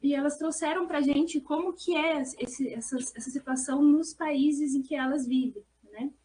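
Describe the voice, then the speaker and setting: A Brazilian woman speaks as a researcher in an academic discussion. Her voice sits high and has a mellow, gentle tone. She explains in an earnest, discursive way, often adding little check-in tags. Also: steady tone, normal speed, slight background noise. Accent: Brazilian Portuguese.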